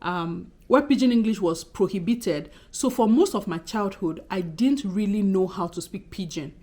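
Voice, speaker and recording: A woman in her thirties speaks with a Nigerian accent that has a Benin lilt underneath. She talks to her viewers in a casual, expressive way, quick in delivery. Her pitch sits in a middle range for a woman. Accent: Nigerian, Benin City undertone. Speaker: YouTuber. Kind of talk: casual talking-to-camera vlog.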